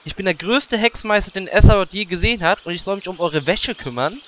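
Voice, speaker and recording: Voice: mid-range voice, fast talker, background noise, natural voice. A performer playing a cocky, whiny young male warlock in a comedy audio drama, petulant and boastful. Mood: boastful, outraged. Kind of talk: German audio drama parody.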